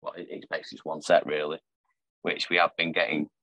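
A man's voice: very deep voice, little pitch movement, fast talker, a bit bright, no room sound. A man co-hosting a film podcast, chatting casually in short, piecemeal phrases, on dull audio. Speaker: film review podcast co-host.

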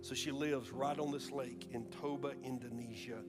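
American pastor preaching over soft music. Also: male voice, mid-range voice, steady tone. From Alabama, USA. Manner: shifts between slow emphatic preaching and quick storytelling. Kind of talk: sermon with personal story. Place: church sanctuary.

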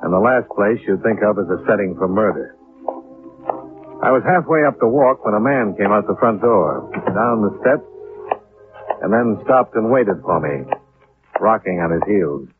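An actor playing a cool, terse, wry insurance investigator in a 1950s radio detective drama. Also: male voice, lowish voice, animated, moderate pace, pauses often, natural voice. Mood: brisk, matter-of-fact. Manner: terse, dry, matter-of-fact. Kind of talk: 1950s radio detective drama acting with first-person narration. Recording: narrow-band 1950s radio recording. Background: music.

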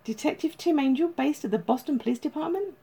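Narrator as a high, chirpy agency caller. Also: female voice, fast talker, gravelly. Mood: upbeat. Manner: bright, formal, chatty phone patter. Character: chirpy, upbeat female tracing-agency caller with good news.

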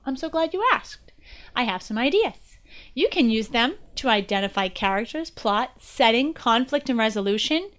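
American female guest presenter, slightly high voice, chatty educational podcast read. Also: a bit bright, smooth.

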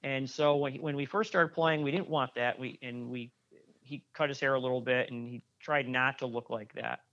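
Male musician guest, mid pitch, chatty and rambling, dull lo-fi recording. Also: fairly even intonation, normal speed, fairly bright tone. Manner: rambling, many restarts and fillers. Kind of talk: casual interview chat.

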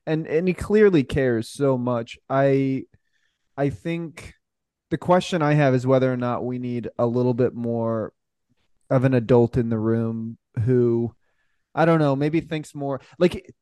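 A man co-hosting a podcast in casual chat, speaking haltingly with lots of filler "like". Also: medium pitch, warm tone, clear, resonant tone, no room sound. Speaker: podcast co-host.

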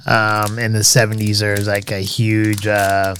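A man's voice: fairly low pitch, completely flat delivery, a little slow, a bit bright, slight background noise. A man co-hosting a podcast in casual chat, talking in a hesitant way with many filler sounds. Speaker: podcast co-host.

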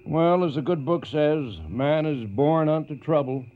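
An actor playing a steady, fatherly veteran cattle drover in a radio western, measured and matter-of-fact, with an American accent. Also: on the high side, phone-quality sound, faint music behind, close to an everyday voice. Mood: calm.

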